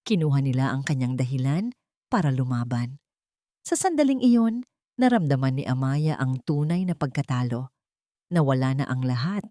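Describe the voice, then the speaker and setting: A woman's voice: rumbling low, lively intonation, moderate pace, warm tone, no echo. A woman reading a dramatic story as scripted narration.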